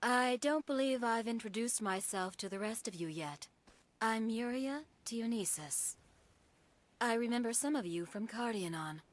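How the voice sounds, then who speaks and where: A woman's voice: fairly high pitch, lively intonation, unhurried, no room sound. An actress playing a cool, aloof warrior woman in a video game, curt and dryly condescending. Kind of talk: video game cutscene acting.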